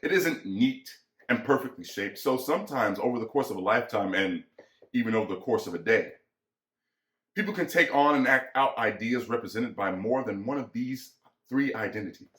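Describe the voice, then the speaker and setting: A man's voice: medium pitch, lively intonation, moderate pace, fairly bright tone. A man giving an explanatory scripted reading, addressing the listener directly. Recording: echoey room.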